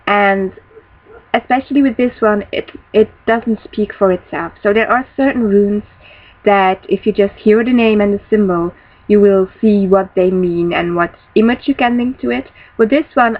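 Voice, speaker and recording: Young dutch-native woman talking into her laptop cam, kinda muffled lo-fi sound, stops and restarts a lot. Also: medium pitch. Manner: thoughtful, searching for words, restarts often. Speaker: spiritual teacher. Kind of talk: casual webcam lesson. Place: small room indoors. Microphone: built-in laptop webcam mic, close. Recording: dull lo-fi webcam audio.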